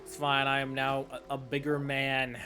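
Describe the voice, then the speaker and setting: Male game streamer, casual commentary, wry asides, mid-range voice, clear game music underneath. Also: medium pitch, monotone, normal speed.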